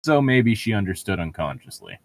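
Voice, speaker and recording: A man's voice: lowish voice, very animated, fairly quick, creaky. A man reading a novel aloud and mocking it with crude, sarcastic, irreverent commentary. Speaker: co-host of a comedic read-along.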